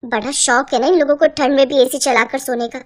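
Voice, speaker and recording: Woman doing fed up young bahu in a cartoon, really high voice, sarcastic n grumbly. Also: steady tone, fairly quick, natural voice. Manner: complaining, sharp-tongued. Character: exasperated young daughter-in-law, sarcastic and scheming. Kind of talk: Hindi cartoon voice acting.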